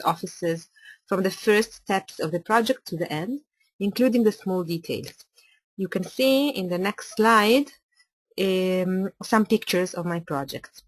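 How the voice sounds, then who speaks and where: A woman from Italy, a native Italian speaker with an Italian accent, presenting a webinar as an architect in careful, slightly halting English. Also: mid-range voice, no echo, low-quality recording.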